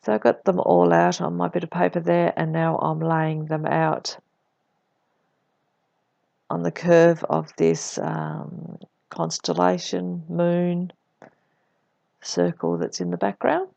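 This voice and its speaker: A woman with a cold, her voice croaky, deep and husky, narrating her scrapbooking in a relaxed voice-over. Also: takes it slowly, long pauses, no room sound, highs cut off. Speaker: scrapbooking vlogger.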